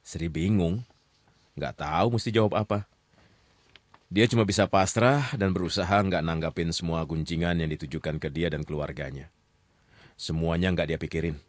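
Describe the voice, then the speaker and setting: Casting: male actor for radio drama storyteller, deep voice, measured and teasing, close dry studio sound. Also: dry recording, natural voice. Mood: storytelling. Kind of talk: conversational radio drama narration.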